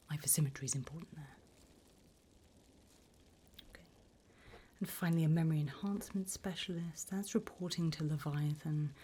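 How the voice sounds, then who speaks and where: A woman acts out a female demon recruiter for an ASMR roleplay, whispering in a soft, dark, breathy voice. The delivery is low, unhurried and courteously bureaucratic, with a wickedly encouraging edge. A faint noise sits behind the whisper. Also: close to an everyday voice. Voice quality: breathy whisper, mostly unvoiced. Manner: unhurried, polite, dryly businesslike about torment.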